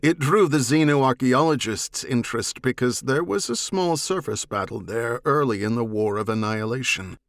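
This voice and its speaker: A man voicing a cool, evasive alien oath-bound hunter with a measured, formal manner in a science fiction audiobook. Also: medium pitch, expressive, fairly bright tone, no echo, studio quality. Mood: explanatory.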